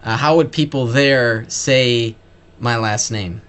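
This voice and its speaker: A man hosting a podcast interview, asking curious, loose questions in quick bursts, with many fillers. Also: mid-range voice, fairly bright tone, smooth. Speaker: podcast host. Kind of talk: casual interview talk.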